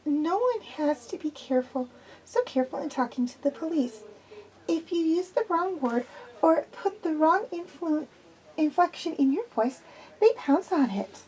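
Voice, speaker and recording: A woman voicing a nervous, jumpy young woman who speaks haltingly. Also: high voice, lively intonation, mellow, pitched above the performer's own voice, rough recording. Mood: anxious. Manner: anxious, halting.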